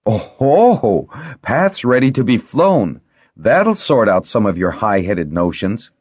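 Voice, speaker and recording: A man's voice: on the low side, expressive, a little slow, a little mellow, slightly rough, in a large hall, dull audio, voice pushed up. A man voicing a proud, stubborn young dragonrider with a grumpy, defiant manner in an audiobook. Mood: crowing.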